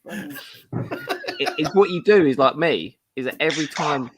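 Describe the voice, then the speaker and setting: A man's voice: on the high side, wildly swooping pitch, measured pace, noisy background. A man in a casual, jokey group chat about his hobby, talking as a panelist on a podcast. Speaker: podcast panelist and toy collector.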